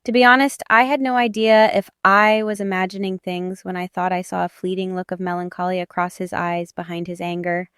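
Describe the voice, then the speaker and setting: A woman's voice: fairly low pitch, level tone, fairly quick, few pauses, fairly bright tone, clear, resonant tone, no room sound, studio quality. A woman giving dramatic first-person narration of a romance audiobook.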